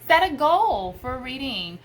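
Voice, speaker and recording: A woman's voice: sits high, big pitch swings, slow. An American woman in her thirties, a homeschooling mother, gives casual tips to camera in an enthusiastic, emphatic way. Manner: enthusiastic, emphatic with repeated phrases. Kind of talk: casual vlog advice to camera. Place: indoors, small room by a window.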